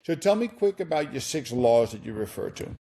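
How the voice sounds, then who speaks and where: A man with a Dutch accent hosting a business podcast, asking questions in a halting way with many fillers. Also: medium pitch, very animated, vocal fry, warm tone. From Netherlands. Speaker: podcast host. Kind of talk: interview podcast conversation.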